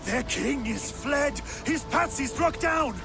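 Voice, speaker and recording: A man playing a proud Danish warlord in a video game, declaiming with a gravelly voice and hunger for conquest. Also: extremely high pitch, expressive, slow pace, exaggerated voice. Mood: triumphant. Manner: declamatory, triumphant orator. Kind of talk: dramatic video game acting. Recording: music behind the voice.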